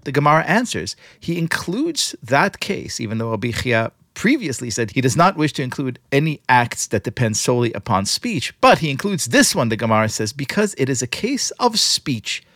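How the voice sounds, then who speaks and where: A man's voice: medium pitch, sing-song, normal speed, few pauses, fairly bright tone, dry recording, studio quality. A man hosting a podcast, narrating and interviewing in an emphatic, theatrical way. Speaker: podcast host.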